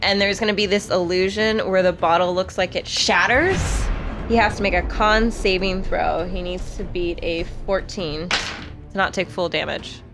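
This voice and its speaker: A woman's voice: lowish voice, steady tone, moderate pace. A woman casually narrating her character's actions as a player in a tabletop game, with music behind her. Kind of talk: casual tabletop play talk.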